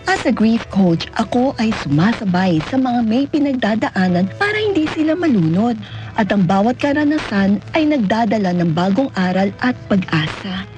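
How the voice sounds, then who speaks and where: A woman's voice: medium pitch, a little mellow. A Filipino woman giving an earnest, scripted inspirational testimonial as a grief coach. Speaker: grief and end-of-life coach. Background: music bed.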